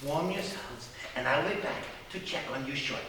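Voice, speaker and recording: An actor playing a sinister, courtly mad doctor with theatrical stammers, in a live horror radio spoof. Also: male voice, on the high side, normal speed, natural voice. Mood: suavely sinister. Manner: theatrical, hesitant stammers and slips. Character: gleefully sinister male mad doctor, courtly castle host. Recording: roomy theatre stage, distant mic, noisy.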